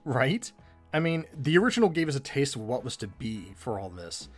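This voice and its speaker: A man co-hosting a conversational commentary on an animated episode, with an animated, bantering delivery. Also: medium pitch, normal speed, faint music behind. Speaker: co-host of fan commentary video.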